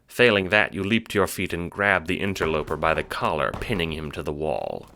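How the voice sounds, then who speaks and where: A man's voice: low voice, expressive, brisk, creaky, pushed and bright. A man narrating an audio drama in dramatic, scripted second-person storytelling.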